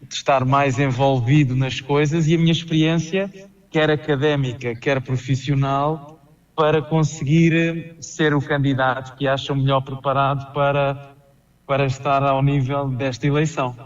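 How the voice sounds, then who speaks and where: A man with a European Portuguese accent, a political candidate answering interview questions over a remote call, measured and explanatory with some hesitations. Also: medium pitch, level tone, far from the mic, muffled recording. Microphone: call line.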